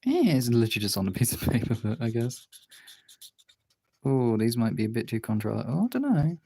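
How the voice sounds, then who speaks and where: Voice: mid-range voice, sing-song, a little fast, creaky, dark tone, husky. A streamer chatting casually while drawing, absent-mindedly thinking aloud in half-muttered remarks.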